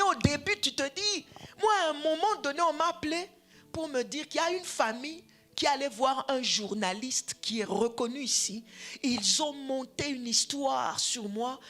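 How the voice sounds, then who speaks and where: A woman whose first language is Swahili, preaching a sermon, dropping to hushed whispers and then rising to shouts, repeating phrases for emphasis. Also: high voice. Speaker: preacher, addressed as apostle.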